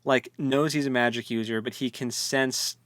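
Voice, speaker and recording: A man's voice: mid-range voice, fairly even intonation, moderate pace, a bit bright, no room sound, studio quality. A man co-hosting a podcast, retelling a story in casual, slangy, jokey conversation. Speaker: podcast co-host. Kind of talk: casual book discussion chat.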